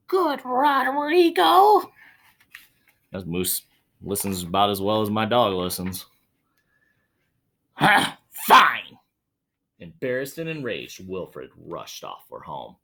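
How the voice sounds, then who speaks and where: A man with a very animated, swooping delivery reads a picture book to children. He is dramatic with the story and slips into casual asides as he goes, speaking to the kids directly. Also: lowish voice, creaky. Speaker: children's read-aloud host, teacher or school staff.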